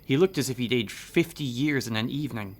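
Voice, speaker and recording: A man's voice: medium pitch, animated, brisk, close to an everyday voice. An actor playing an earnest, argumentative intellectual man obsessed with eerie legends in a radio horror drama. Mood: ominous, insistent.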